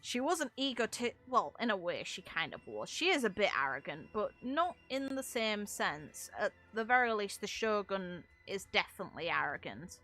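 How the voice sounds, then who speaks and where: A woman's voice: mid-range voice, big pitch swings, moderate pace, hardly any pauses, bright, forceful tone, soft background music, rough recording. A woman giving casual, rambling commentary on game lore, informal and a little sweary, with wry asides. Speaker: gaming video creator discussing game lore.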